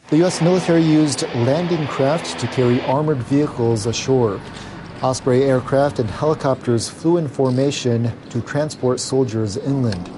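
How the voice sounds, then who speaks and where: A man's voice: mid-range voice, noisy background. A man reading scripted news as a newsreader. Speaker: news reader.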